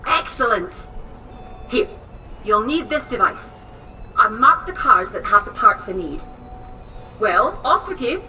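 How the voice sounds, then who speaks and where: An actress playing an eccentric, overconfident English woman inventor in a game cutscene, theatrical, brisk and condescending. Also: fairly high pitch, big pitch swings, bright, forceful tone, faint music behind, full character voice. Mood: brisk, pleased. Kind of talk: video game cutscene acting. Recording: distant from mic, faint noise.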